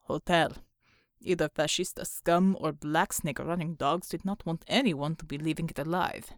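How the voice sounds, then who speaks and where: A woman voicing a wary, dour male Russian soldier in an audiobook, speaking measured broken English with a Russian accent. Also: low-pitched, no echo, studio quality, voice pushed down. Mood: grim, wary.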